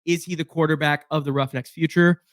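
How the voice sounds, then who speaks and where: A hoarse man with a fairly high voice talks through a football roster on his own, rambling with fillers and restarts. The sound is dry and close, with no room around him. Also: fairly even intonation, brisk, a bit bright, dry recording. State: hoarse from being sick. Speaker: sports podcast host. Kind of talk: casual solo sports breakdown.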